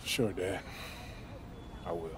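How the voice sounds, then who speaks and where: An actor playing a self-doubting young basketball prospect in a video game cutscene, talking casually and hesitantly. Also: male voice, low voice, fairly even intonation, slow. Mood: uneasy. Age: young adult. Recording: clear ambient noise behind dialogue.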